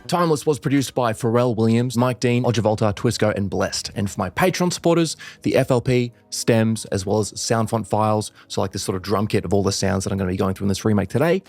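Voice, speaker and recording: A man's voice: fairly low pitch, fast talker, creaky, few pauses, darkish tone. An upbeat young Australian man casually explaining music production as a tutorial host. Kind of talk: fast explanatory tutorial narration. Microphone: studio microphone.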